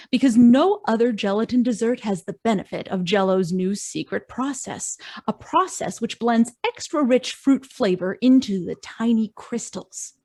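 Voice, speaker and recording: A woman's voice: darkish tone, dry recording. A woman reading an upbeat, persuasive old-time radio commercial over a video call. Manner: upbeat, persuasive sales pitch. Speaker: radio announcer. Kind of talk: vintage radio ad.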